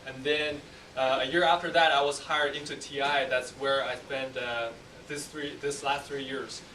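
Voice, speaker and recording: A young man, an engineer speaking hesitantly to students with frequent pauses. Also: medium pitch, flat delivery, sharp tone. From China. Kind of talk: casual classroom talk. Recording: roomy distant mic with faint noise.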